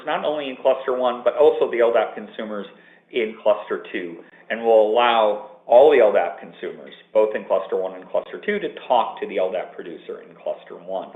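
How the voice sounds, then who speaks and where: A man's voice: mid-range voice, moderate pace. A man presenting a technical webinar from an office, explaining at length with fillers and restarts. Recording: tinny phone-line audio with room echo.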